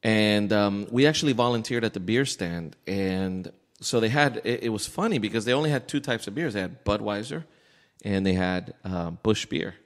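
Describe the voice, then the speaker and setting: A man's voice: on the low side, normal speed. An American man co-hosting a podcast, talking in a casual, opinionated and emphatic way. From Miami area, United States. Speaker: podcast co-host. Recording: echoey room with long reverb.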